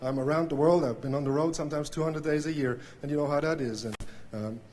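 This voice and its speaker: A man's voice: fairly high pitch, fairly even intonation, fast talker, background noise. A middle-aged man from Switzerland with a Swiss accent, giving a heartfelt, hesitant acceptance speech. He is openly nervous, full of fillers and restarts. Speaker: banjo player giving an award speech.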